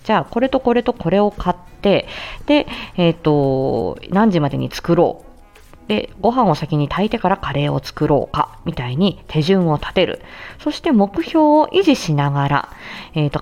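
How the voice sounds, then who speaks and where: A woman's voice: mid-range voice, a little mellow, highs cut off. A woman giving a casual explanatory talk as an educational host, going step by step through examples in a conversational way. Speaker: speech-language therapist hosting an educational audio show.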